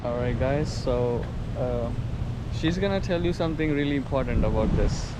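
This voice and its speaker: A man's voice: mid-range voice, animated, moderate pace. A man with an Indian accent hosting a casual travel vlog, upbeat and chatty with fillers, talking while walking outdoors. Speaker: vlogger host. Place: outdoors at a botanical garden. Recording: dull lo-fi sound with loud music.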